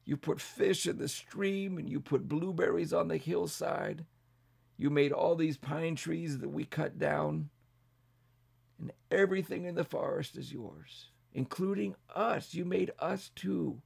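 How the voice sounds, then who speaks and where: Casting: man as wise old storybook bear, calm and devout, raised gravelly voice, slow earnest delivery. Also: fairly high pitch, voice pushed up, exaggerated voice. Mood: reverent prayer. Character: old male bear, reverent, calm leader. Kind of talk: children's bedtime storytelling.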